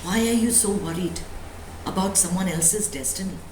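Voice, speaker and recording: A woman's voice: fairly low pitch, moderate pace. Woman giving a spiritual talk, echoey room. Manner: emphatic, preachy, with rhetorical questions and repetition. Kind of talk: motivational spiritual talk to a live group. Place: indoor room at a live talk. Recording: echoey room with faint background noise.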